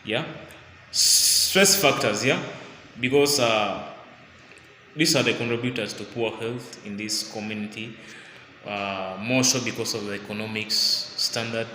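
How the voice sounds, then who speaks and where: A man from Uganda, giving an informal health talk and often pausing with fillers and restarts. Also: lowish voice, pushed and bright, slight background noise. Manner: halting, many fillers and restarts. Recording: echoey room with long reverb.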